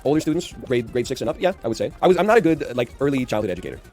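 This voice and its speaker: Fast-talking deep-voiced man in his thirties. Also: animated, a little mellow, faint music behind. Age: early 30s. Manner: rambling, talky, heavy on filler "like"s; dry, unenthusiastic delivery. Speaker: streamer and game host. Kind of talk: casual gaming chat and storytelling.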